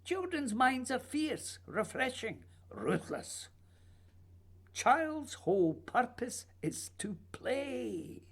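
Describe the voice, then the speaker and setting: A man's voice: very high voice, big pitch swings, extremely slow, slightly rough, full character voice. A man playing a whimsical, childlike playwright in a radio play, musing and teasing in a theatrical way. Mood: musing, wistful. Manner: theatrical, musing, playfully urging.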